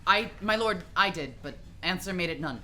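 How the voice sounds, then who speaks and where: A woman's voice: lowish voice, lively intonation, moderate pace, sharp tone, slight background noise. A woman playing a loyal, earnest young friend of a prince, speaking respectfully in a Shakespeare radio play. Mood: earnest, urgent.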